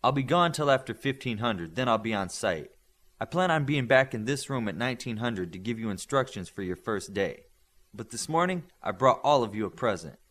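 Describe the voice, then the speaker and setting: A man voicing a brisk, authoritative male coach of young superheroes in an audiobook, with a firm, instructing manner. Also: medium pitch, expressive, a bit bright. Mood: brisk, commanding.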